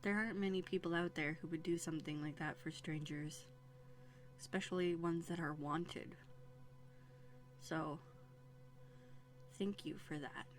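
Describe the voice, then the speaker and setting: A woman plays a bookish young runaway princess in an audio drama. The voice sits slightly low for a woman, with an earnest, conversational delivery and hesitant phrasing that carries a quiet bitterness. It is closely miked, with music underneath. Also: steady tone, measured pace, pauses often. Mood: apologetic, grateful. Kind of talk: roleplay audio drama acting. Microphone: close USB condenser microphone.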